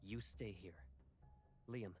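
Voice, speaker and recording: An actor playing a guarded, protective young military commander in a dramatic video game. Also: male voice, lowish voice, slow pace, darkish tone. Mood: urgent, controlled. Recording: game audio with music underneath.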